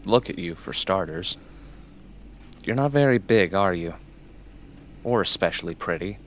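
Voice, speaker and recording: A low-pitched young man played by a male actor speaks as a wounded former captive. He is bitter and searching, halting and accusing, in a radio drama with slight background noise. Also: lively intonation, fairly bright tone, heavily acted. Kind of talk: amateur radio play acting. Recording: noisy amateur recording.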